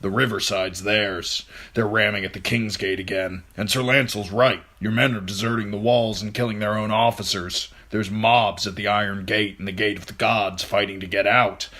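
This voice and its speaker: A man voicing a blunt soldier giving a brisk battle report. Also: fairly low pitch, steady tone, sharp tone. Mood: urgent.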